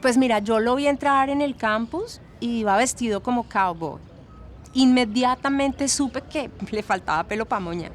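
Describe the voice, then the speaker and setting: High-voiced chatty Latin American actress as a witness. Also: female voice, normal speed, soft background music, lightly acted. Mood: chatty, sympathetic. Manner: gossipy, expressive, colloquial.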